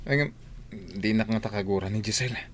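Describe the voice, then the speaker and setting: A man's voice: fairly low pitch, fairly quick, slight background noise, lightly acted. A man playing an impatient, determined young wealthy employer in a radio drama, with an Ilocano accent. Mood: troubled, determined.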